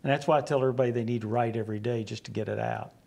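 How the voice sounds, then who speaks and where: A man in his sixties from West Virginia with a West Virginian accent, telling rambling stories in a relaxed interview on a small studio set, acting out the exchanges he quotes. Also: medium pitch, racing, vocal fry. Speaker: guest, comic book writer. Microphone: lapel.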